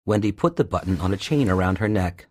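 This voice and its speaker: A man's voice: sits low, expressive, fast talker, a little mellow, no room sound. A man narrating a scripted children's storybook tale. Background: music.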